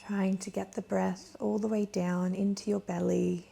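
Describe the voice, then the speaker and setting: Woman, meditation guide, gentle, soft breathy delivery, mellow tone, very even intonation, soft chimes behind. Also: mid-range voice. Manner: gentle, soothing, unhurried with long pauses.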